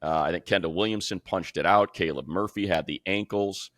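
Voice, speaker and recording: A man with a deep voice talks football as a podcast co-host. His delivery is conversational and colloquial, like an analyst chatting with a colleague. The sound is dry, with very little room on it. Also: fairly quick, fairly bright tone, dry recording. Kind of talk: casual sports talk.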